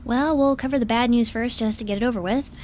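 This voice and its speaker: A woman playing a brisk, wry, blunt spaceship crew member in a science fiction audio drama, talking casually. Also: a little high, a little mellow, slight background noise, lightly acted.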